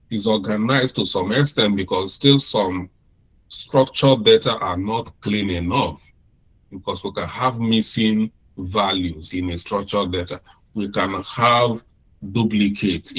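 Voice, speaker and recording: A man with a Nigerian accent giving an informal classroom lecture, unhurried, often repeating and restarting his phrases. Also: fairly low pitch, a bit bright, husky, low-quality recording.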